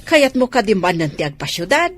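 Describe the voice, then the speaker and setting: An actress with a Filipino accent playing a calm, practical woman in a radio drama. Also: medium pitch, brisk, soft background music.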